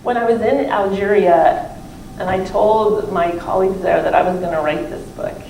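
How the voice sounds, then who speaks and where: A woman speaks as an academic answering questions about her book, in a conversational, discursive way with many self-qualifications. Her voice sits at a middling pitch. The sound is roomy and distant, with faint noise. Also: fairly even intonation, moderate pace, creaky, a little mellow. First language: not French (non-native French speaker). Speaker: professor and author presenting her book. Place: library event room, recorded from a distance.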